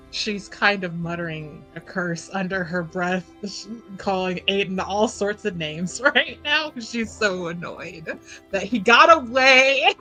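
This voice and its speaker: Bright-voiced woman speaking slowly at the game table. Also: medium pitch, lively intonation, soft background music, poor audio. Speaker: player in a tabletop roleplaying game. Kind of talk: improvised narration and in-character roleplay.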